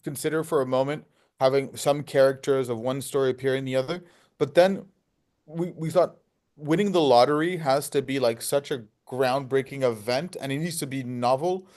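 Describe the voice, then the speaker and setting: A man's voice: medium pitch, normal speed, a little mellow. A man answering as a filmmaker in a casual interview, explaining himself and often repeating the openings of phrases.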